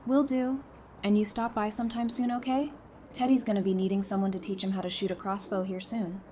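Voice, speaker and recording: A woman's voice: medium pitch, flat delivery, brisk, mellow, slight background noise, close to an everyday voice. A woman acting an affectionate, teasing young mother among survivors in an audio drama, speaking casually and sincerely. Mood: warm, grateful.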